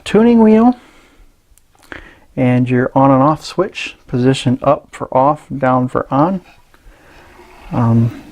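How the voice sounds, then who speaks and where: A man presenting a casual, unscripted gadget review in a halting, rambling way. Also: medium pitch, darkish tone. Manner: hesitant, halting, rambling delivery.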